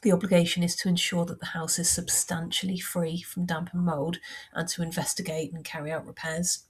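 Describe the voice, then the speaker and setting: A woman with a heavy cold and a croaky voice, explaining housing law in a measured, practical way as a surveyor. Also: fairly low pitch. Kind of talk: informal explainer talk.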